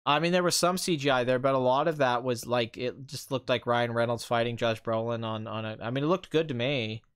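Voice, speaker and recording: A man's voice: medium pitch, fairly quick, smooth. A man hosting a casual, rambling live stream as a film commentator, his voice a little strained from hours of talking. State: voice tiring and strained after two hours of talking.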